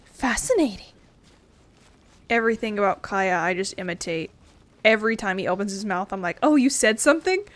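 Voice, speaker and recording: A woman's voice: mid-range voice, animated, a little fast, pauses often. A woman giving casual let's play commentary, playful and sarcastic, with the odd swear word. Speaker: gaming video host.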